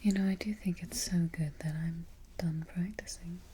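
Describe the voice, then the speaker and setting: A woman playing a haughty evil queen in a soft-spoken ASMR roleplay, breathy and whispery, giving petty orders with imperious, mock-sweet deliberation. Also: lowish voice, steady tone, normal speed, a little hiss or hum, lightly acted. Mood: coolly menacing. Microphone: close ASMR microphone.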